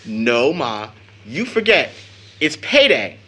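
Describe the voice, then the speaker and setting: Devoted teasing teen son in a radio play, boyish, really bouncy pitch, bright voice. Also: male voice, very animated, takes it slowly, a little hiss or hum, exaggerated voice. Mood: cheerful, affectionate. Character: eager, cheeky, devoted teenage son. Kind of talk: stage-drama audio acting.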